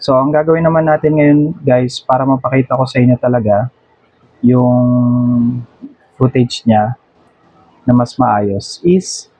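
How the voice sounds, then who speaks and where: A man's voice: mid-range voice, dark tone, slightly rough, no room sound, poor audio. A Filipino man talking casually to viewers through a step-by-step tutorial as a motovlogger, mixing in English and often checking they follow. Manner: Taglish code-switching, addresses viewers directly, frequent check-in tags.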